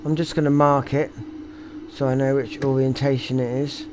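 Male repair technician, mid-pitched, casual thinking-aloud commentary, slight background noise. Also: little pitch movement, moderate pace. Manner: musing aloud while working. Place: indoor electronics workshop.

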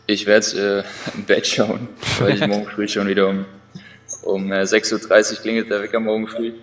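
A young man speaking over a video call as an injured player, halting with many fillers. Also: fairly low pitch, flat delivery.

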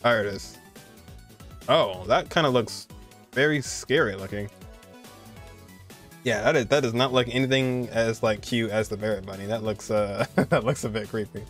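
A man's voice: lowish voice, normal speed, pauses often, fairly bright tone, faint music behind. A man, giving casual, enthusiastic reaction commentary in an informal way. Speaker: reaction video host.

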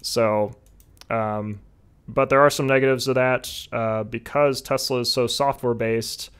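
A young man in his twenties chatting casually on a podcast video call, relaxed, with lots of fillers. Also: medium pitch, unhurried, smooth. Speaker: podcast guest. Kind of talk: casual chat. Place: home room, indoors. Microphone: headset with video call.

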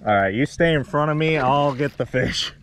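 A young man hosting a fishing vlog, chatting casually and playfully with excited exclamations while wading outdoors. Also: a little high, normal speed, slight background noise. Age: young adult. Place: outdoors wading in open shallow water. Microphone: action camera, handheld or body-worn.

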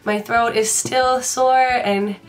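A woman's voice: on the high side, steady tone, takes it slowly, soft background music. Bubbly congested young woman vlogger. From Washington DC area. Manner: chatty and bubbly, rambling asides. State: congested from a sinus infection. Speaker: beauty vlogger. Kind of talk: casual chat to camera. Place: bedroom, indoors.

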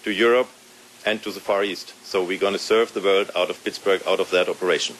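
A man speaking as a company executive in a news interview, formal and slightly halting. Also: low-pitched, fairly even intonation, fairly bright tone, poor audio. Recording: faint background noise.

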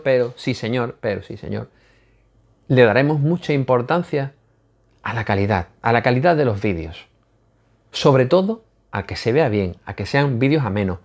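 A man in his forties with a Spanish accent vlogs from a small home office. He talks animatedly to his viewers, repeats phrases and keeps checking that they follow. He speaks at a middle pitch into a lapel mic. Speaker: spearfishing channel host. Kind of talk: casual vlog talk to camera.

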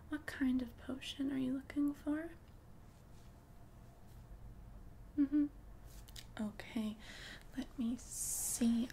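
A woman plays a kindly witch minding her magic shop in an ASMR roleplay. The voice is hushed, lightly high and very unhurried, soothing and helpful, with clear noise in the background. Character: gentle, helpful witch shopkeeper selling love potions. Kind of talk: soft-spoken ASMR roleplay.

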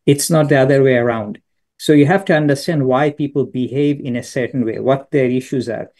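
A man's voice: medium pitch, brisk, dark tone. A middle-aged man from Bangladesh, a business school professor, answering a question on a video call with thoughtful anecdotes and frequent restarts. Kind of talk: answering questions in an online lecture.

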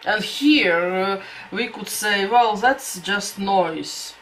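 A middle-aged woman with a Latvian accent talks slowly to her webcam in a small room at home. She thinks aloud and often restarts her sentences. A faint hum of the room sits behind her voice. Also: medium pitch, rough recording. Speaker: vlogger. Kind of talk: casual talk to camera.

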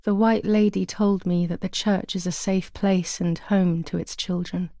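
A low, creaky young woman's voice, haunted and fearful, in a dramatic video game. The recording is dry and close. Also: fairly even intonation, measured pace, warm tone, no echo. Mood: haunted, anxious. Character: traumatized, frightened young Italian woman confiding haunted memories.

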